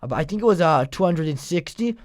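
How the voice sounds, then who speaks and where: A young man interviewing a guest with deadpan, mocking humor. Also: fairly high pitch, brisk. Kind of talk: comedic confrontational interview.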